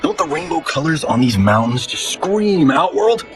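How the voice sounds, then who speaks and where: An actor playing a cocky, good-natured movie star in a video game, with a showy, casual, slangy delivery. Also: male voice, a little high, big pitch swings, normal speed, music in the background. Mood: upbeat, joking. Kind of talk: video game cutscene acting.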